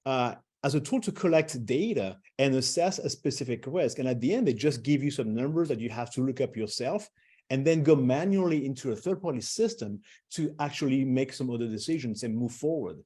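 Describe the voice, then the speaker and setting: Middle-aged man, webinar presenter, mellow voice, loose delivery with fillers, even pitch. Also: brisk, breathy edge. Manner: loose, with many fillers and restarts.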